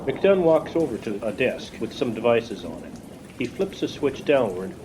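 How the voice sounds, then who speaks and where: A man's voice: medium pitch, big pitch swings, normal speed. A man giving scripted narration for a radio play as its narrator. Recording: noisy rain and storm ambience.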